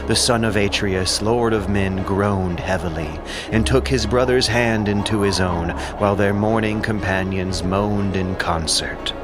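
A man's voice: lowish voice, measured pace, hardly any pauses, music in the background. A man narrating an ancient epic as a scripted audio drama, solemn and declamatory.

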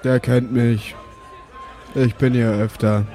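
A man takes part in an improvised tabletop game. He speaks slowly and casually in a mid-range voice, feeling his way through phrases. Soft music sits behind him. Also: mid-range voice, little pitch movement, a faint crowd. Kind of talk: casual improvised role-play.

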